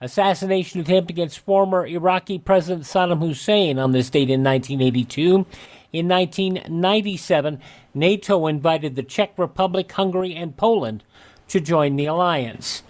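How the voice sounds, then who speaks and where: A man's voice: on the high side, moderate pace. A man hosting a radio show, reading a scripted rundown of historical dates.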